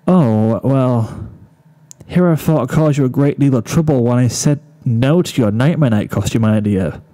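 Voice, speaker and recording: A man's voice: medium pitch, moderate pace, natural voice. A man voicing an affectionate mare pony who is playfully dramatic and teasing, in a storybook reading. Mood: mock offence. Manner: overdramatic, teasing. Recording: soft guitar music behind.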